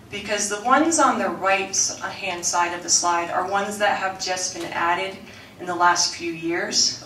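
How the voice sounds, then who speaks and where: A woman with a loud coach's voice, reining it in while she presents to a school board, earnestly and with many restarts. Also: medium pitch, slight background noise. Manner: loud coach's voice, softened for the mic; earnest, with frequent restarts and fillers. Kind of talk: formal presentation at a board meeting. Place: school board meeting room. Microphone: room microphone. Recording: echoey room, mic at a distance.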